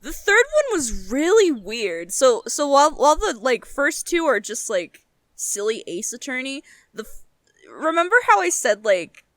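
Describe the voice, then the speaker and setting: Young woman podcast co-host, fairly high voice, close dry sound, emphatic, sweary and giggly casual chat. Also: sing-song, moderate pace, a bit bright, dry recording.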